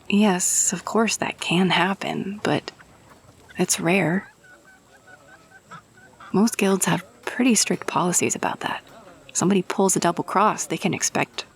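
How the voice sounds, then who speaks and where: A woman's voice: on the low side, vocal fry. A woman playing a friendly, grateful rogue-for-hire in a fantasy audio roleplay, talking casually and chummily to the listener. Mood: cautioning.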